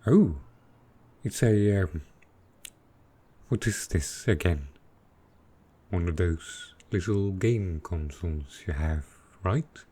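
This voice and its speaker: Deep, slow-talking dragon boyfriend, acted by a man. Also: expressive, dark tone, slightly rough, heavily acted. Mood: curious, puzzled. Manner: slow, tender, hesitant speech. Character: affectionate, possessive male dragon boyfriend baffled by technology. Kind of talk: intimate boyfriend roleplay audio.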